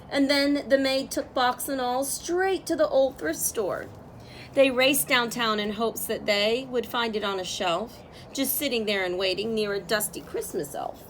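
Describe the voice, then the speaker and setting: A woman reading a picture book aloud as a storyteller, with expressive, drawn-out phrasing for young listeners. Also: fairly high pitch, slight background noise, low-quality recording. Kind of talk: expressive children's read-aloud narration.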